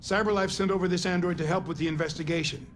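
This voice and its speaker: Guy playing a bossy cranky police captain, kinda high voice, faint hum behind. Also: steady tone, quick pace, lightly acted. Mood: commanding. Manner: commanding, blunt, profane. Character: stern exasperated male police captain.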